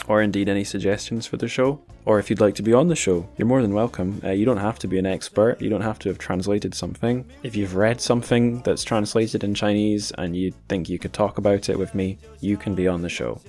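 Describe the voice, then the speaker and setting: A man with a notably low voice hosts a casual podcast. He rambles informally and puts himself down a little as he goes. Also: fairly even intonation, brisk, few pauses, a little mellow, faint music behind. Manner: informal, self-deprecating, rambling. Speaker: podcast host.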